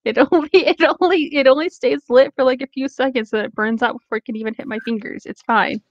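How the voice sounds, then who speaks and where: A woman's voice: sits high, quick pace, dry recording, highs cut off. A woman chatting casually and bluntly on a group call, playful and unbothered.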